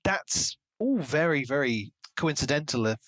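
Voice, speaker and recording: A man co-hosting a casual book discussion, speculating in an expressive, wordy way. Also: a little high, measured pace, no echo, poor audio. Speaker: podcast co-host discussing a novel. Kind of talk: casual book-club chat.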